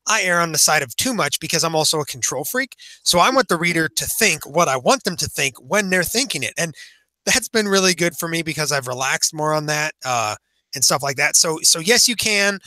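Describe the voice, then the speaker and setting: A man with a fairly high, forceful, bright voice talks casually as a podcast co-host. He is enthusiastic and emphatic, often repeating himself. The sound is close and dry. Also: no echo. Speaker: podcast co-host and fantasy author.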